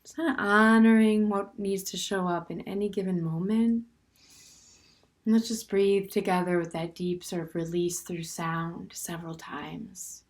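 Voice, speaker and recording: A woman leading a guided standing meditation in a gentle, unhurried way, with soft, breathy phrase endings and plenty of pauses. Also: fairly low pitch, steady tone. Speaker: meditation teacher.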